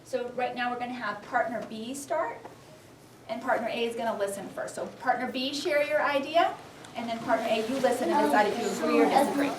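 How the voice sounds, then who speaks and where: A woman teaching young children, reading a storybook aloud expressively and giving clear, animated instructions. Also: on the high side, a little fast. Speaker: first-grade teacher. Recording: echoey classroom, distant, background noise.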